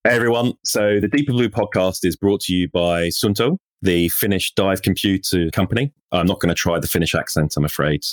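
A man's voice: sits low, fairly quick, few pauses, no echo. A man co-hosting a podcast, chatting casually and teasing his co-host.

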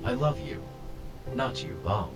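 A man in an audio drama voicing dictated messages in a measured, deliberate way. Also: fairly low pitch, expressive, creaky. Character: text message read aloud by phone-like voice assistant. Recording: loud guitar music behind the voice.

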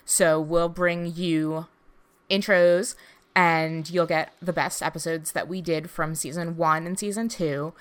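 A woman co-hosting a casual podcast chat, talking in a relaxed, friendly way. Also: lowish voice, a little slow, clear, resonant tone. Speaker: podcast co-host.

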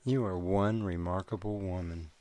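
A man's voice: sits low, steady tone, moderate pace, mellow, no echo, close to an everyday voice. An actor playing a curious young man in love, asking probing, impatient questions. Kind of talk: audio drama acting.

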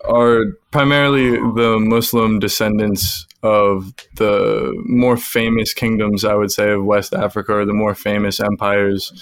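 A man's voice: fairly low pitch, flat delivery, a little slow, few pauses, clear, resonant tone, no room sound. A man hosting a podcast, asking curious and slightly hesitant questions with fillers. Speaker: podcast host. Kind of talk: interviewing a guest.

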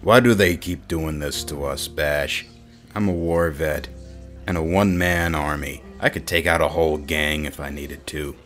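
A man playing a huge modified war veteran cop in a noir audio drama, gruff, weary and grumbling. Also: rumbling low, steady tone, moderate pace, a bit bright, faint music behind. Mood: grumbling, boastful.

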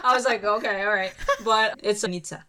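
A woman from the United States, a podcast guest, chats lightly and laughs as she tells her story. Her voice sits at an ordinary middle pitch. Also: normal speed, bright, forceful tone, slight background noise. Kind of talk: casual podcast chat.

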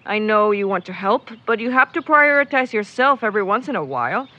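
A woman's voice: medium pitch, lively intonation, a little fast, bright, forceful tone, muffled recording. A woman playing a friendly, teasing young man in casual, naturalistic audio drama dialogue. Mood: caring, teasing. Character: friendly, teasing young man, camera-loving travel companion.

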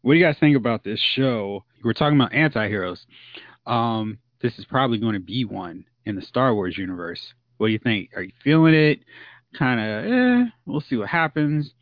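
A man hosting a casual podcast chat, loose and animated, steering the group conversation. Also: medium pitch, normal speed, no echo. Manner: loose, animated, leading the talk. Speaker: podcast host. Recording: dull lo-fi sound.

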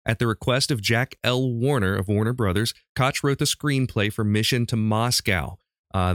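A man's voice: mid-range voice, a bit bright, dry recording, clean studio sound. An American man in his forties hosting a podcast, chatting and telling stories casually in a rambling, self-correcting way. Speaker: podcast host and quizmaster. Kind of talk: casual chat and storytelling.

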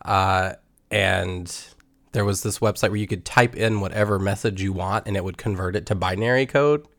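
A man's voice: low-pitched, normal speed, vocal fry, a bit bright, no room sound. A man chatting casually as a podcast co-host, playful and mock-indignant. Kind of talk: casual chat, reacting.

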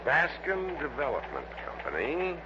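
An actor playing a businesslike American insurance man in a 1960s radio drama, explaining things deliberately and matter-of-factly. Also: on the high side, huge pitch swings. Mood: businesslike briefing. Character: businesslike male insurance office man briefing an investigator. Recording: noisy, dull lo-fi old radio recording.

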